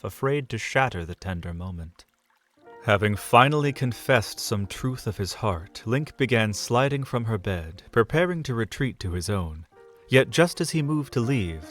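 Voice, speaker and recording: A man narrating a romantic fan audio drama, measured and soft. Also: fairly low pitch.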